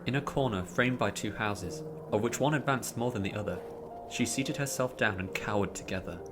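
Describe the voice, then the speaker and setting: A man narrating a story in a dramatic, expressive audiobook style, drawing out words theatrically. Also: on the low side, moderate pace. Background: music behind the voice.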